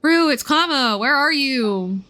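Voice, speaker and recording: Woman doing a high, chirpy little fairy girl companion. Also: lively intonation, a little slow, sharp tone, exaggerated voice. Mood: worried, urgent. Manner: refers to self in third person, chirpy. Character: small excitable girl fairy companion, worried and curious.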